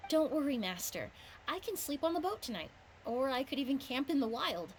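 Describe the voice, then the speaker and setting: Composed, high-voiced perfumer. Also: female voice, very animated, slight background noise. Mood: polite, reassuring. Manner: measured, formal, analytical. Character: composed, precise, well-mannered young woman perfumer. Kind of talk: video game voice acting.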